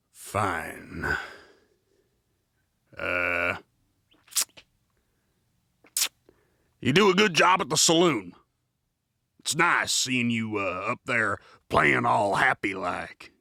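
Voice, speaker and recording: A gruff, grudging outlaw from a western audio drama, acted by a man. The voice is low and forceful, swinging widely in pitch as he spits out terse, stern lines. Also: no room sound, clean studio sound, full character voice.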